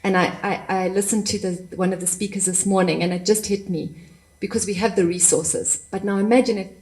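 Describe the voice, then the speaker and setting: A woman's voice: medium pitch, fairly even intonation, a little fast, darkish tone, low-quality recording. A woman from Africa giving an impassioned conference talk, sometimes repeating words as she searches for them. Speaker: panel speaker.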